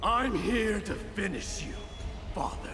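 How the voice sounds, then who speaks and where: An actor playing a fierce, brooding young warrior prince in a fantasy game, with stern, weighty delivery. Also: male voice, high-pitched, expressive, takes it slowly, full character voice. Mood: vengeful. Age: young adult. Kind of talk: dramatic fantasy game acting. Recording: long hall reverb, music behind. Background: music.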